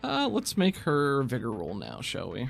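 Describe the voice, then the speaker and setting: A game master narrating scenes and explaining rules in a measured, casual way. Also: mid-range voice, huge pitch swings, creaky, faint music behind.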